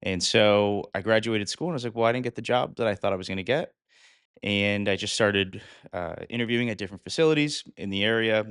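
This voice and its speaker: A man in his thirties from Connecticut talks through his coaching career in an earnest, casual way. His voice is very deep and smooth. The recording is dry and close to the mic. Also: a little fast, a bit bright, no room sound. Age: 30s. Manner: earnest storyteller with frequent "uh" fillers. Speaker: guest, fitness coach. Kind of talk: casual interview.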